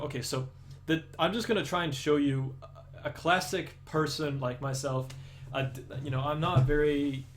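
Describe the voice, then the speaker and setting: A man's voice: medium pitch, slight background noise. A young Danish man with an American-leaning accent, chatting casually with viewers on a live stream and rambling with many restarts. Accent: Danish, aiming for American English. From Denmark.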